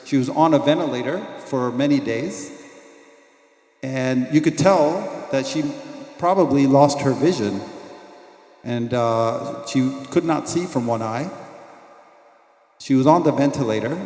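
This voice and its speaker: A doctor giving a mosque lecture, telling personal stories in an earnest way that turns quiet and reverent at moments. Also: male voice, medium pitch, unhurried, faint music behind. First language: not Arabic. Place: mosque hall. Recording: echoey hall, long reverb.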